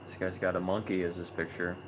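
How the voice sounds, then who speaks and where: A man with a fairly low voice hosts a live online chat. He talks in a loose, relaxed way, full of ums and small restarts. A steady noise sits under the recording. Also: fast talker. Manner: relaxed, chatty, many fillers. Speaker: host of a live video chat about animal rights. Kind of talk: casual livestream chat.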